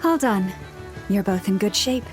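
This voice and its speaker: A woman playing a gentle, dignified healer in a video game cutscene, calm and soft-spoken. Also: mid-range voice, unhurried, soft background music, natural voice.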